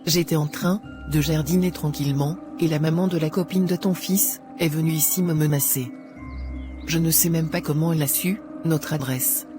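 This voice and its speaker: Guy doing worried churchy mom, kinda indignant, highish male voice, cartoon w music. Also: steady tone, moderate pace, natural voice. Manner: fretful, indignant.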